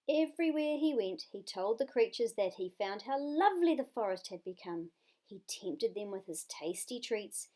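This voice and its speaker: A woman with a New Zealand accent reading a children's story expressively, with dramatic, drawn-out emphasis. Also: mid-range voice, a little mellow.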